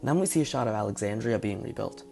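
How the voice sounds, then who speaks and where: Hoarse fast-talking man with gravelly low voice. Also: lively intonation, warm tone, faint music behind. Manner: speculative, thinking aloud. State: hoarse, partly lost voice. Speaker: video reviewer. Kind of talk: casual video commentary.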